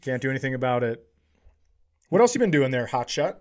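A man's voice: medium pitch, big pitch swings, fairly quick. A man in his forties co-hosting a comedy podcast, telling stories casually in a teasing, self-deprecating, sweary way. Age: 40s. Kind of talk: casual comedic banter and storytelling.

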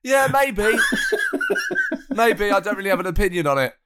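A man, a comedian guest on a podcast, rambling and playful and quick to giggle. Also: high-pitched, animated, heavy background noise. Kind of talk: comic podcast chat.

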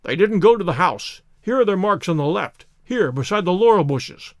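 A man voicing a brisk, commanding gentleman detective in a storybook reading, with a quick, clear, exclamatory delivery. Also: sits high, lively intonation, no echo, clean studio sound. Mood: alert.

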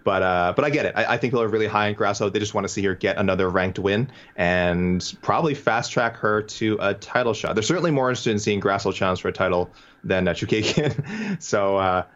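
Male podcast co-host, chatty and teasing, lower register, lo-fi sound. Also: brisk, a bit bright. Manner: chatty, playful, reads listener messages aloud. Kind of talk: casual chat.

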